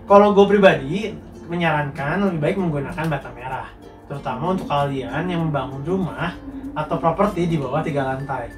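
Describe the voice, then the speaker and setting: A man's voice: on the high side, fairly quick. Stuffy-nosed young man explaining building materials. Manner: slangy, explanatory, reads out viewer questions. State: congested nasal voice from a cold. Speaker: contractor answering viewer questions. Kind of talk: casual Q&A monologue. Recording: roomy, mic at a distance, faint music.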